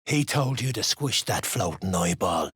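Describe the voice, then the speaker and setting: A grim male dwarf thug for a fantasy game. His voice is low and extremely rough, with terse, menacing lines delivered slowly. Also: expressive, a bit bright, no room sound, clean studio sound, full character voice. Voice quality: very raspy. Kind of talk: video game character voice acting.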